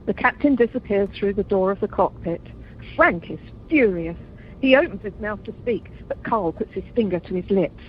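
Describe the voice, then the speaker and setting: A woman acts as storyteller for an amateur radio comedy, reading the scripted action. Her mid-pitched voice is lively in intonation, on a dull conference line with faint noise. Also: normal speed, natural voice. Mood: descriptive. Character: female narrator describing the action. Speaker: narrator.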